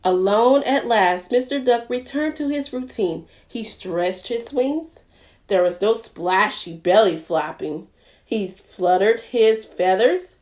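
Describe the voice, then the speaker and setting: A woman with a high, very animated voice leads a storytime for small children. She takes her time, stretching out the read-aloud at an unhurried pace and talking straight to her young listeners. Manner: expressive, addresses young listeners directly. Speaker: children's librarian and storytime host.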